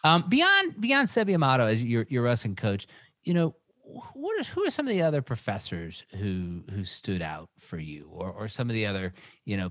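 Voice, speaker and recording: A man hosting an interview podcast, asking questions in an animated, joking way. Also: low voice, dry recording, studio quality.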